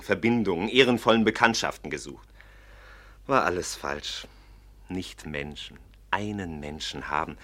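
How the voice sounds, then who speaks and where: A man's voice: sits low, bright, forceful tone. An actor playing an earnest, romantic young nobleman in a German radio play. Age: young adult. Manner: earnest, fervent.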